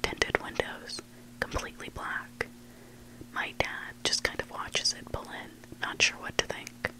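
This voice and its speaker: Whispering ASMR storyteller. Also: low-quality recording. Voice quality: breathy unvoiced whisper. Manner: hushed, deliberate reading in short phrases. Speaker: ASMR reader of online stories.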